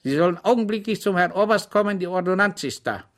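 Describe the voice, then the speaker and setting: A man's voice: sits high, expressive, quick pace, a bit bright, pitched above the performer's own voice. A man reading an audiobook, voicing a cheerful, simple-minded soldier orderly who chats earnestly and deferentially. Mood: eager, deferential. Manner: chatty, earnest and deferential, rambling anecdotes.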